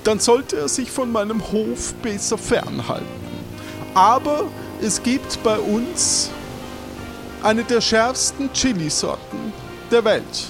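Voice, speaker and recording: A man voicing a haughty, pompous king who addresses common folk in a drawn-out, regal way, in an improvised adventure audio play. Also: very high voice, expressive, gravelly, over music, heavily acted. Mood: condescending. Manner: pompous, drawn-out royal address in third person.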